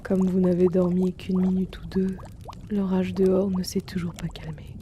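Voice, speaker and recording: A performer voicing a gentle, courteous male herbalist in an ASMR roleplay, speaking softly with formal politeness and slipping into whispers. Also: mid-range voice, flat delivery, moderate pace, a little hiss or hum, lightly acted. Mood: gentle, caring. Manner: soft-spoken, courteous, formal address.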